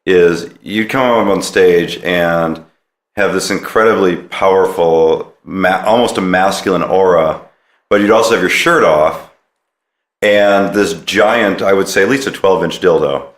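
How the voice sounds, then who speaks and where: A man's voice: deep voice, unhurried, reverberant. A man hosting a podcast interview in a conversational style.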